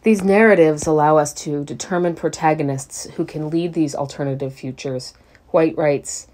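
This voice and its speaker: Woman, low pitch, scripted sermon, measured delivery, deliberate pauses. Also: steady tone, a little mellow. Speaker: service speaker.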